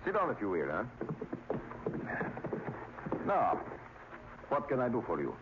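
Reserved mansion gentleman, low-pitched, measured, polite, old-time radio mystery, muffled recording. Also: huge pitch swings, background noise. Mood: courteous, guarded. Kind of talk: 1940s radio drama acting.

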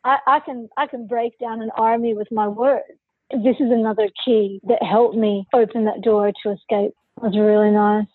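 A woman's voice: fairly high pitch, steady tone, moderate pace, husky, dry recording, narrow, tinny audio. A woman who is a podcast guest, telling her personal story candidly and forthrightly in an interview.

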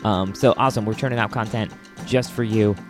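A man's voice: on the low side, fairly even intonation, brisk, faint music behind. An American man hosting a podcast solo, chatting informally and enthusiastically. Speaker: podcast host. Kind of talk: casual solo podcast chat.

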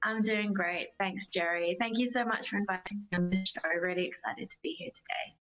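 A woman's voice: medium pitch, steady tone, fairly quick, fairly bright tone, soft background music, rough recording. A woman with an Australian accent, chatting casually and earnestly as an enthusiastic interview guest. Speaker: interview guest, singer-songwriter.

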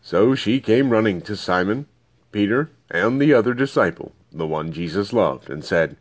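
A man's voice: fairly low pitch, animated, moderate pace. A man reading scripture aloud as scripted narration. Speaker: Bible reader.

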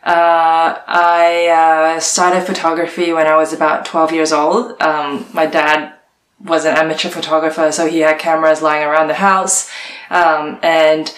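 A young woman from Hong Kong with a low voice talks easily through her answers. She fills the gaps with "um" and "like". The recording has a roomy echo around her. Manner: chatty with many fillers and "like"s. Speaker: guest, art director. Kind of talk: casual interview answers.

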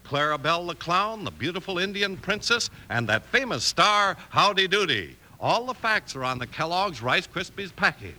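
A man's voice: sits high, big pitch swings, normal speed, hardly any pauses, bright, forceful tone. A male 1950s radio announcer with an emphatic, showy delivery for commercials and dramatic narration.

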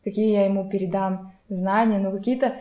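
A woman's voice: medium pitch, fairly even intonation, a little fast, a crowd in the background. A young adult woman chatting casually as a podcast co-host, sometimes mixing English words into her speech. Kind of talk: casual conversation. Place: room in a home. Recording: roomy home recording.

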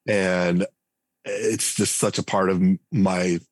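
Husky low-voiced man talking shop. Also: slow, no room sound. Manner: relaxed, thinks aloud with many fillers and restarts. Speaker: game writer and designer, guest. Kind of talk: casual interview conversation.

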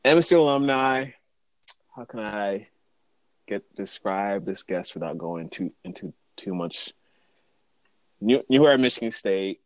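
A man's voice: lowish voice, expressive, a little slow, pauses often, no room sound. A young man hosting a casual podcast, laid-back and joking, with slangy, easygoing talk. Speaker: podcast host.

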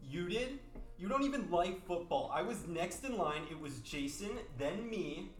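A man's voice: high-pitched, lively intonation, unhurried, distant mic. A man playing a belligerent, drunk teenage jock in an amateur radio play. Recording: echoey, off-mic, music behind.

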